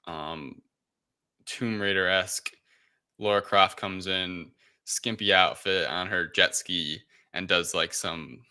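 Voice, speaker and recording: The deep-voiced guy at the head of a movie podcast, feeling his way through a recap in a sharp, forward tone. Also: steady tone, takes it slowly, clear, resonant tone. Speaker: podcast host. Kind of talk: casual film review discussion.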